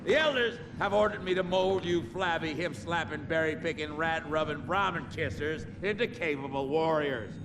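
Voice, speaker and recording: A man playing a high-pitched, belligerent drill sergeant. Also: normal speed, few pauses, noisy background, natural voice. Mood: barking, contemptuous. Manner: barking, insulting, commanding. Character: belligerent military paladin drill instructor berating recruits. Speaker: drill instructor. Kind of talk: video game cutscene acting.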